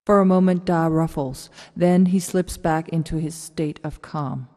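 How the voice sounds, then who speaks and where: Mellow woman narrator in long reverb. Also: sits low, steady tone, measured pace. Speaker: narrator reading stage directions. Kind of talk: scripted narration of a radio drama.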